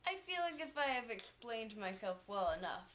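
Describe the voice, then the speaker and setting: A woman's voice: a little high, very animated, normal speed, fairly bright tone, slight background noise. A woman playing a defiant male Chicago gangster boss in an amateur mock 1920s radio interview, speaking in a matter-of-fact, self-righteous way.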